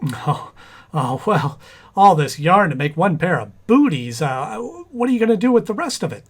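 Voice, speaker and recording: An actor as a flustered husky-voiced radio husband. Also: male voice, high-pitched, moderate pace, full character voice. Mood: flustered, amazed. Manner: stammering, excitable. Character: flustered, doting 1940s radio-comedy husband. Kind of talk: 1940s radio comedy acting.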